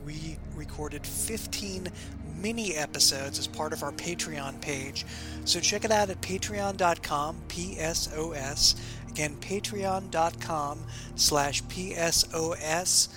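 Higher-voiced man announcing over music. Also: unhurried. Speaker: show creator giving announcement. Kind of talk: scripted promotional announcement.

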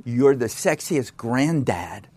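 A man's voice: medium pitch, very animated, takes it slowly, darkish tone, slightly rough. A man from the United States hosting an interview podcast, teasing his guest playfully. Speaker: podcast host, film critic. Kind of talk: casual interview chat.

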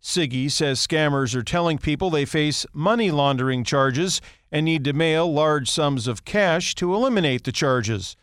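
Male American news anchor, higher-set voice, very dry close sound, scripted bulletin read. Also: normal speed, fairly bright tone, clean tone, dry recording.

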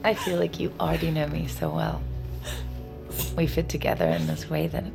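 A woman's voice: deep voice, little pitch movement, normal speed, vocal fry. A woman playing an adoring, vulnerable lover in an audio drama, tender and confiding in an intimate, earnest way. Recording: prominent music under the voice.

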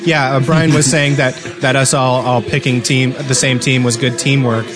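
A man's voice: medium pitch, level tone, moderate pace, music in the background. Casual, teasing man hosting gaming banter. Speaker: podcast host.

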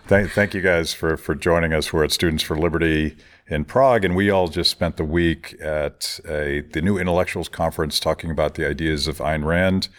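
Host: man, extremely low voice, easygoing chat. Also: normal speed. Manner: relaxed, joking, conversational. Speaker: interview host. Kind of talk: casual interview.

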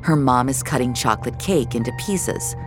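A woman's voice: extremely low pitch, normal speed, over music. A woman narrating scripted audio fiction in a dramatic storytelling style.

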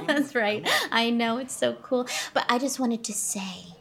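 A self-absorbed female stage star, speaks in a high voice. She gushes and condescends, bossing everyone around with imperious certainty in a comedic radio play. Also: takes it slowly, gravelly, fairly bright tone, exaggerated voice. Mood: gushing, self-satisfied. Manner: gushing, condescending, bossy. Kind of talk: comedic audio drama acting.

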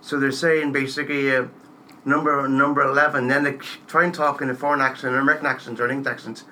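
A man's voice: medium pitch, flat delivery, quick pace, pushed and bright. A man interviewing in a casual, chatty conversational way. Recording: faint background noise.

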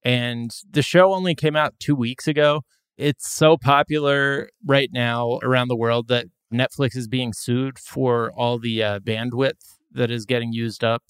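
A man hosting a podcast, chatting casually and telling stories with a wry, relaxed manner. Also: mid-range voice, unhurried, smooth, no echo. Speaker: podcast host. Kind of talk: casual chat.

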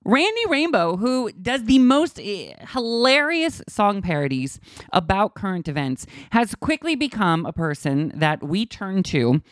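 A man hosting a podcast, talking in a casual, gushing way and sounding hoarse from a cold. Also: high-pitched, measured pace, no room sound, clean studio sound. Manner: gushing, animated, many restarts. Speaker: podcast host. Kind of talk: casual chat.

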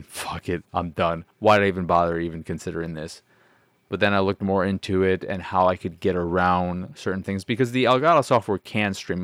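A man with a deeper than average voice hosting a podcast, rambling casually and self-deprecatingly about his setup, swearing now and then. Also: no echo. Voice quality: deep voice. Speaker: podcast host. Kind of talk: casual solo podcast chat.

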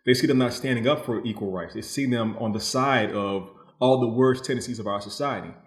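A man's voice: medium pitch, a little fast. A man giving thoughtful, conversational answers as a novelist guest, explaining his thinking and voicing other people's views as he goes. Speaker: novelist guest being interviewed. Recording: roomy, echoing voice.

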